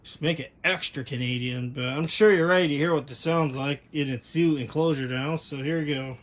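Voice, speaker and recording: A man with a slightly high-set voice hosts a hobby video. He talks in a casual, hands-on way, as if showing gear to his viewers. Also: brisk, a little hiss or hum.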